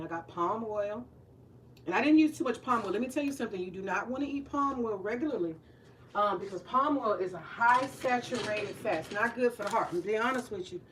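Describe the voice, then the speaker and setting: A woman's voice: medium pitch, a bit bright. Woman in her forties, frank and joking, curses casually, home kitchen, faint background noise. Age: 40s. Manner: candid, joking, swears freely, talks to viewers. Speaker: retired teacher cooking on her channel. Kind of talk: casual cooking vlog chat.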